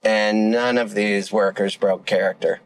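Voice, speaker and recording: A man's voice: on the low side, fairly even intonation, unhurried, no echo. A man co-hosting a podcast, chatting casually and playfully, tripping over words and laughing often. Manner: playful, tongue-tied wordplay, laughs often. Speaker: podcast co-host. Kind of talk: casual comedic chat.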